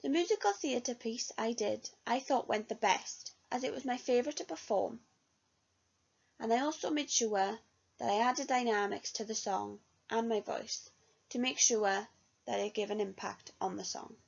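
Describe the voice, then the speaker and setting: A woman's voice: a little high, moderate pace, vocal fry. A young woman, a music student, reading an earnest reflection on her year of singing study. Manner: earnest, read-aloud delivery. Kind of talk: reflective spoken self-review. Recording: dull lo-fi recording.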